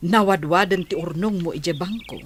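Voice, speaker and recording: A woman's voice: lowish voice, moderate pace, a little hiss or hum, close to an everyday voice. Woman acting a nosy village aunt, birdsong behind. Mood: prying. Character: nosy, grumbling but obliging village aunt. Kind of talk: Ilocano radio drama acting.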